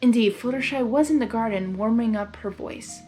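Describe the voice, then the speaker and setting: A woman's voice: on the high side, moderate pace, mellow, soft background music. A woman reading fan fiction aloud informally, unrehearsed, with stumbles and amused asides. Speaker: fan fiction reader and channel host. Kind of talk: informal storytelling read-aloud.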